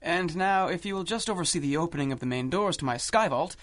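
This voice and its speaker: An actor playing a confident, condescending young millionaire inventor, suave and grandiose, in a 1930s-style radio drama. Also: male voice, on the high side, expressive, fast, a bit bright. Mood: showmanlike.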